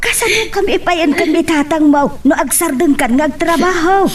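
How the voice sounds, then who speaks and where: A woman's voice: very high voice, moderate pace, distant mic, a little hiss or hum. A woman playing an anxious, sympathetic middle-aged mother in an Ilocano audio drama. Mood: pleading, sympathetic. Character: anxious, sympathetic mother pleading with her grown child.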